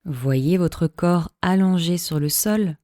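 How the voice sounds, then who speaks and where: Slow, mellow woman guiding deep relaxation. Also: no room sound, clean studio sound. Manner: calm, measured, soothing delivery with long pauses. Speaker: yoga nidra teacher. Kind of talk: slow guided meditation, instructional relaxation.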